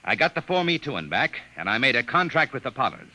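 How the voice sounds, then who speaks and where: A man's voice: mid-range voice, big pitch swings, quick pace, bright, forceful tone. A man playing a stubborn, plucky Earth agent in an old-time radio drama, plain-spoken and insistent. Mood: explaining.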